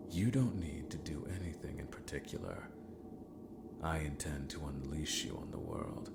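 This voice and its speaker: A very deep-voiced villain mentor played by a man speaks slowly in a fantasy ASMR roleplay. He is courteous, scheming and a little unhinged, with a gravelly creak to his formal, theatrical phrasing. Noticeable noise sits behind the voice. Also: full character voice. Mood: grandly scheming. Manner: slow, formal, theatrical, sometimes muttering to himself.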